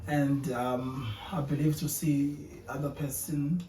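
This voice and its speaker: A man's voice: medium pitch, little pitch movement, slow pace, mellow, slight background noise. A young man from South Africa, a guest entrepreneur in a casual interview, speaking hesitantly and often repeating words as he thinks. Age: young adult. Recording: echoey room, distant mic.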